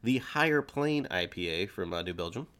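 A man's voice: lowish voice, expressive, moderate pace, fairly bright tone. A man hosting a podcast, chatting casually over drinks with his co-hosts. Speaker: podcast host.

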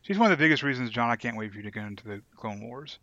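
Male podcast co-host, medium pitch, casual discussion, somewhat muffled lo-fi recording. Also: animated, racing, a loud crowd. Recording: slightly dull, lo-fi sound.

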